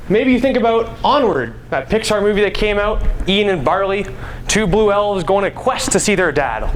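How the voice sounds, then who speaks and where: High-voiced young man giving a speech. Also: low-quality recording. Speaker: student presenter. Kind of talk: prepared live speech. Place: school stage. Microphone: clip-on mic. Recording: live room, background noise.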